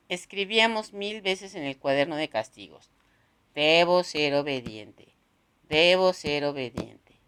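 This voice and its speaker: Woman w a pretty low voice reading a novel out loud, expressive, pauses between phrases. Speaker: narrator reading a novel aloud. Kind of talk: expressive literary audiobook narration.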